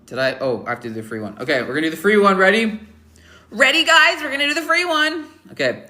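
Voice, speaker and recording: Blunt young man, bright forceful voice. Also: high voice, lively intonation, fast. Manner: blunt, offhand verdicts with many fillers; joking, teasing the chat. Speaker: livestream host rating viewers' photos. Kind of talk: casual live-stream chat.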